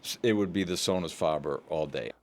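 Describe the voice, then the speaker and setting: A man's voice: sits low, a little fast. A man giving his opinion in casual, conversational speech.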